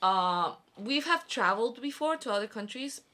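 Lively, bright-voiced woman chatting. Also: fairly high pitch, normal speed. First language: not Korean. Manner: giggly, chatty, laughs often between phrases. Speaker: podcast guest. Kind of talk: casual chat.

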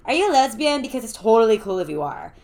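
A woman voicing an excitable, blunt teenage girl who talks in casual slang, in an audiobook. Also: a little high, quick pace, faint music behind, pitched above the performer's own voice. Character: excitable, blunt, joking teenage girl, boy-crazy friend. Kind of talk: audiobook character dialogue.

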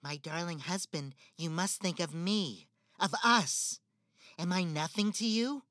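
A woman's voice: on the low side, unhurried, fairly bright tone, dry recording, clean studio sound. A woman playing a cold, manipulative stepmother in a spoken fairy-tale drama. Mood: reproachful. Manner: manipulative, icy, theatrical.

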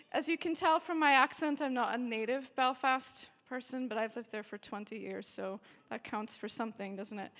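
A woman in her forties, a worship director, giving a chatty and emotional welcome to a church retreat in a large hall. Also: on the high side. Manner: warm, chatty and emotional, with bursts of cheering. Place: large hall with a live audience. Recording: long hall reverb.

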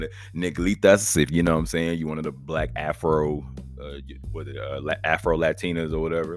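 A man in his thirties with an Oklahoma accent, hosting a casual solo podcast in a rambling, profane, laid-back way. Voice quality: deep voice. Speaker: podcast host.

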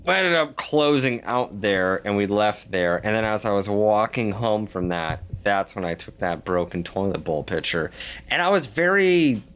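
A man in his thirties with a lowish voice, chatting casually. He rambles through his stories with lots of filler words and grows animated as he goes. His delivery is loose and conversational. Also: moderate pace, clear, resonant tone. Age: 30s. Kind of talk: casual chat.

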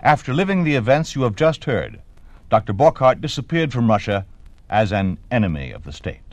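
A man's voice: medium pitch, big pitch swings. Vintage American radio announcer, male, formal and solemn, wide-ranging inflection, scripted delivery over faint music. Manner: formal, solemn, deliberate emphasis. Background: dramatic music.

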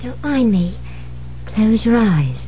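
Anxious wife with animated intonation. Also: female voice, fairly high pitch, slow pace. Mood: feigned lightness. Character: anxious young newlywed wife, hesitant and wary. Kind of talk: 1970s radio drama acting. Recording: muffled, noisy 1970s radio recording.

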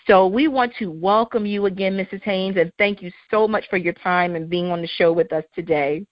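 A woman's voice: mid-range voice, normal speed, no room sound, lo-fi sound. A woman hosting a talk show, reading her guest's introduction and asking questions, with lots of encouraging interjections.

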